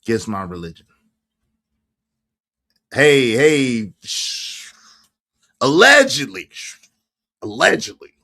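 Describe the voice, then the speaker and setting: A man's voice: mid-range voice, huge pitch swings, takes it slowly, gravelly, long pauses, bright, forceful tone. A man around fifty hosting a casual livestream, chatting animatedly with viewers, laughing and reacting to their comments. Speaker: livestream host.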